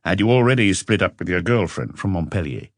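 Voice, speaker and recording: A man's voice: low-pitched, expressive, brisk, no room sound, studio quality. A man voicing a patient French police inspector who asks terse, probing questions in an audiobook. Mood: probing, calm. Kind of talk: audiobook character dialogue.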